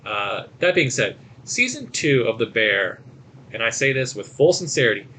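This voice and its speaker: A man's voice: medium pitch, sing-song, moderate pace, pushed and bright. A man co-hosting a podcast, chatting casually and informally, with some background noise. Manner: informal, conversational. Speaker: podcast co-host reviewing movies and shows. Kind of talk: casual chat.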